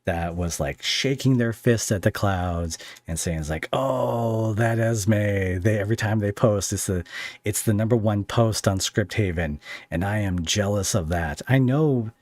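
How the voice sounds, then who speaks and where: A man hosting a casual interview, talking in a rambling, halting and jokey way. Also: on the low side, a little mellow, no room sound. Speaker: podcast host interviewing a guest.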